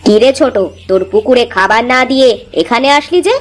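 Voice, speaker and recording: A sensible elder sister-in-law from a village cartoon , acted by a woman. She has an admonishing, scolding manner and an expressive delivery. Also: on the high side, a little fast, close to an everyday voice. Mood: dry, admonishing. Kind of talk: cartoon voice acting.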